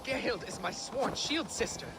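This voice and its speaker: A man's voice: very high-pitched, expressive, moderate pace, heavy background noise. A man playing a troubled, loyal Viking clan leader in a video game, speaking in an earnest, commanding way. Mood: firm. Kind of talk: video game character acting.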